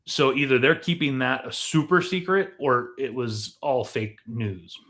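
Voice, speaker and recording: A man co-hosting a podcast, talking casually about films in an explanatory way, thinking aloud with pauses as he goes. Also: medium pitch, a bit bright. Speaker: podcast co-host. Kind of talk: casual film discussion.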